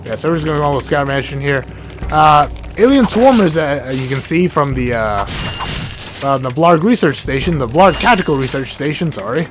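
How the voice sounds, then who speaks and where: A hoarse man, sick, giving rambling casual commentary while playing a game, often stuttering and correcting himself. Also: a little high, expressive, moderate pace, background noise. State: hoarse from illness. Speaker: let's play gamer. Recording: game music underneath.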